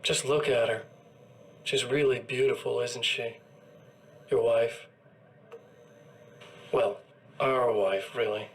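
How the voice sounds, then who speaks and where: A man's voice: mid-range voice, steady tone, slow pace, pauses often, close to an everyday voice. Man playing a smug, gloating evil double. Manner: taunting, gloating, coldly intimate. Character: smug evil male doppelganger of a writer. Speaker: villain. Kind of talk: video game cutscene acting.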